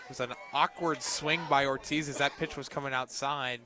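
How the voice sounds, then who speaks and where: A young man doing live radio play-by-play of a softball game as the announcer. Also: medium pitch, fairly even intonation, moderate pace. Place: outdoors at a softball field. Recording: outdoor ballpark noise, crowd behind. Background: crowd and outdoor ambient noise.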